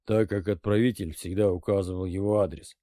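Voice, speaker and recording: A man reading an audiobook, voicing a composed middle-aged intelligence colonel who reports in a measured, matter-of-fact way. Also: low-pitched, steady tone, dark tone, no room sound, studio quality, natural voice. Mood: even.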